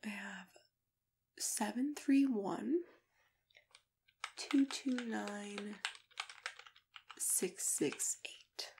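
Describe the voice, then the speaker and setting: A woman playing a kindly, attentive matchmaker in a soft, gentle ASMR roleplay, often dropping into whispers as she talks unhurriedly to a client. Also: mid-range voice, very slow, gravelly, long pauses, noisy background. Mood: soothing, welcoming. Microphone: close ASMR microphone.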